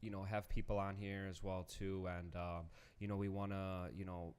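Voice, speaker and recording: A man co-hosting a laid-back podcast, his voice low and smooth. He talks in halting bursts, filling gaps with "you know" and "um," and drops in casual curses. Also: level tone, a little mellow. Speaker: podcast co-host.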